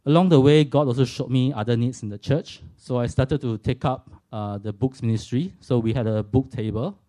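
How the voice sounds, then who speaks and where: A Singaporean man in his forties tells his faith story to a church audience. His voice is mellow and warm. He speaks earnestly and thoughtfully, now and then poking gentle fun at himself. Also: medium pitch, moderate pace. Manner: earnest and reflective, with light self-deprecating humour. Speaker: speaker giving a personal testimony.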